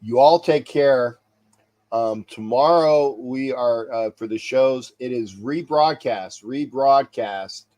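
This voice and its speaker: A man's voice: medium pitch, takes it slowly, a little mellow. A man hosting a sports talk livestream, stuffy and congested, rambling casually and repeating himself as he signs off to viewers. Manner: chuckles to himself. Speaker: sports talk show host.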